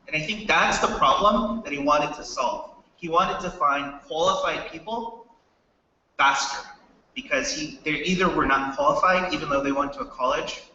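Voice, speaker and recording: American man, bright tense voice, somewhat high, echoey hall, distant mic. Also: moderate pace, muffled recording, a little hiss or hum. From United States. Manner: chatty, asks the audience questions. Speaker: startup co-founder speaker. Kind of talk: casual talk to students. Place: hall with a live audience.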